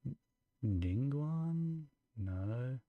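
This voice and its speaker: A man's voice: mid-range voice, lively intonation, very slow, dry recording, phone-quality sound. A man giving casual live gameplay commentary, muttering and thinking aloud as he goes. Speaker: game streamer. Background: faint game music.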